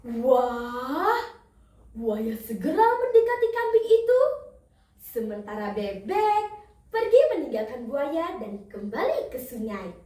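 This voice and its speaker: A young female telling a children's fable theatrically, with dramatic drawn-out emphasis. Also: sits high, very animated, warm tone. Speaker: storytelling contestant. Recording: echoey room, distant microphone.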